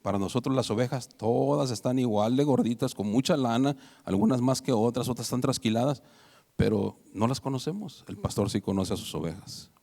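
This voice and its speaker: A man's voice: medium pitch, fairly quick, vocal fry, mellow. A man preaching a conversational sermon to his congregation, asking them rhetorical questions. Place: church hall. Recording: reverberant church hall.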